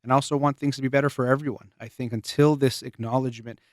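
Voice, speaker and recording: A man talks in an earnest, measured way, pausing and restarting his sentences as he works through his thoughts. His voice is wearing thin, as though he is losing it. His pitch sits in an ordinary middle range, and the recording is dry with no room echo. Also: fairly even intonation. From United States. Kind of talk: reflective spoken monologue.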